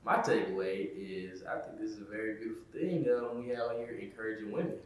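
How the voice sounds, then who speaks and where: A man, the show's director, giving relaxed, supportive remarks. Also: fairly low pitch, brisk. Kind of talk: casual remarks. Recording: echoey room, from far off.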